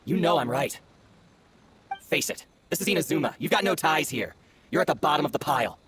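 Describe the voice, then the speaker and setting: A cocky, sneering bandit, swinging pitch. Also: male voice, expressive, fairly quick, fairly bright tone, a little hiss or hum. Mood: taunting. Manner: taunting, mocking. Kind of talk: video game voice acting. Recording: music behind the voice.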